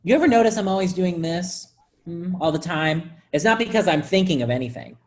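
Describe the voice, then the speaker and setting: A woman acting an oblivious office worker in a staged play reading. The voice is low, and the talk rambles on in a squeamish, matter-of-fact way. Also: animated, a little fast, darkish tone, close to an everyday voice. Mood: fussy, rambling.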